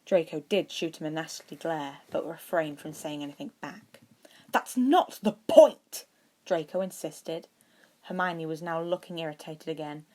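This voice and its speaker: Low-pitched woman narrating a story. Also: a little mellow. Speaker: audiobook narrator of fan fiction.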